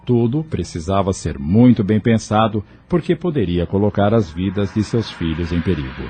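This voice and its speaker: A man's voice: fairly low pitch, animated, moderate pace, background noise. A man narrating an audio novel in a scripted, dramatic storytelling style. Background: faint music.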